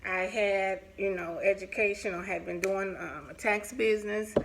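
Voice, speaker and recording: A woman's voice: medium pitch, steady tone, normal speed, background noise. A woman in her forties sharing a personal story in a candid, casual, conversational way, as in a vlog. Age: 40s. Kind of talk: casual personal storytelling while getting ready.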